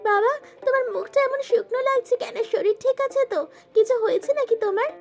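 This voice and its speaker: A woman's voice: very high voice, fast, faint music behind. An actress playing an affectionate young married daughter visiting her parents in a cartoon. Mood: concerned. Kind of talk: cartoon dialogue.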